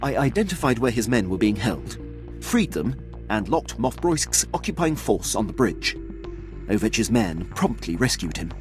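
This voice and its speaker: A man playing a dutiful, precise Imperial officer in a video game, with a formal, crisp, deferential manner. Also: medium pitch, very animated, moderate pace, over music, lightly acted, poor audio. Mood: dutiful, pleased. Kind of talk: scripted video game dialogue acting.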